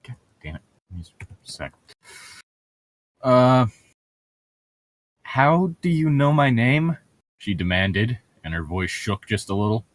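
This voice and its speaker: Lively, sweary man reading aloud with banter. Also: mid-range voice, takes it slowly, pauses often. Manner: sweary, irreverent. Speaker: co-host of a comedic read-along show. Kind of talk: book read-aloud with casual joking banter.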